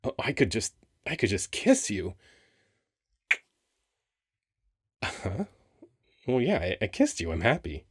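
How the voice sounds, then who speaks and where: Low-voiced flirty sphinx, acted by a man. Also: moderate pace, breathy edge, no echo, clean studio sound, exaggerated voice. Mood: astonished joy. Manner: teasing, conversational, expressive. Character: handsome, flirty male sphinx, playful ancient temple guardian. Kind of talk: ASMR roleplay audio acting.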